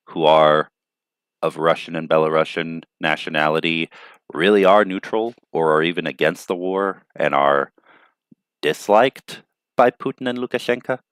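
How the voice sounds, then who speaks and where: A man's voice: low voice, smooth, no room sound. A man hosting a news commentary show, reading reports and adding thoughtful, hesitant asides. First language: not Ukrainian.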